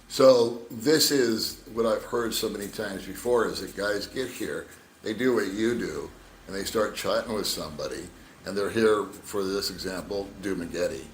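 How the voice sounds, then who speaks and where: A man's voice: fairly low pitch, normal speed, roomy sound, a little hiss or hum, rough recording. A man on a podcast arguing his point in a casual, joking way. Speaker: guest. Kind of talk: casual chat.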